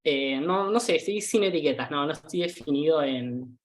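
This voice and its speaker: A man's voice: a little high, lively intonation, normal speed. A man in his twenties with a Rioplatense Spanish accent, a tour guide talking casually as a podcast guest, hesitant with many fillers and restarts. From Argentina. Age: 20s. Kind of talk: casual interview chat.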